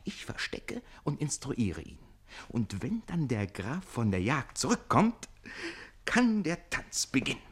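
An actor playing a cheeky, exuberant manservant in a classic German radio play, theatrical, boastful and teasing. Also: male voice, mid-range voice, huge pitch swings, slow, breathy edge, heavily acted. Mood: gleeful confidence.

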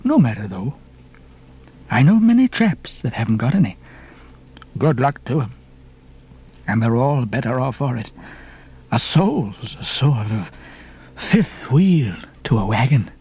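A man's voice: mid-range voice, big pitch swings, a little slow, long pauses, dark tone, husky, full character voice. A man voicing a ragged old sailor stranger in an audiobook, cryptic and derisive, with emphatic archaic seafaring talk. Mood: cryptic, mocking. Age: older. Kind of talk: audiobook character reading.

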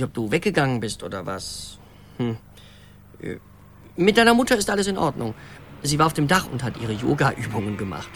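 An actor playing a rambling boyfriend leaving a halting, reassuring voicemail in a radio drama. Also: male voice, mid-range voice, a bit bright.

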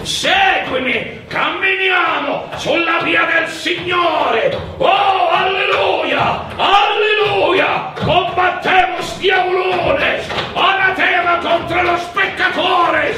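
Wild, loud fanatical priest, very echoey. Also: male voice, very high-pitched, takes it slowly, few pauses, a faint crowd, heavily acted. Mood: exalted preaching. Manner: declamatory, chanting mock Latin. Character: fanatical ranting male exorcist priest, pompous and wild. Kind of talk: theatrical stage storytelling for radio. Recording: very echoey stage, distant, music.

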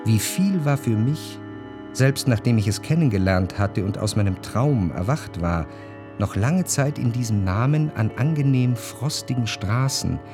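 Low-voiced reflective male narrator. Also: darkish tone. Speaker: first-person narrator. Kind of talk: scripted literary radio drama narration.

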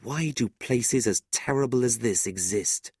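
A narrator voicing an earnest, troubled young gentleman teacher in a storybook, polite and sincere. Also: mid-range voice, a little slow, husky, no echo, studio quality. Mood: indignant.

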